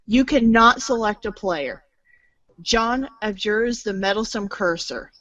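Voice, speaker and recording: A woman's voice: medium pitch, takes it slowly, a bit bright, no room sound, low-quality recording. A woman reading scripted storybook-style narration aloud as a theatrical narrator.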